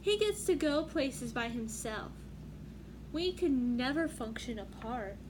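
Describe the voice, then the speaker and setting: A woman's voice: high-pitched, lively intonation, slow. A woman voicing friendly utensils in a picture book, earnest and admiring with a slightly plaintive manner. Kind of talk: children's picture book read-aloud. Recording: clearly noisy room background.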